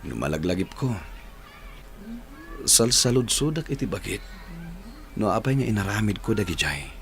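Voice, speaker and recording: A man's voice: lowish voice, big pitch swings, moderate pace, a bit bright. An actor with an Ilocano accent playing an earnest, apologetic young man in a radio drama. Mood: remorseful, grateful. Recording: music bed under the dialogue.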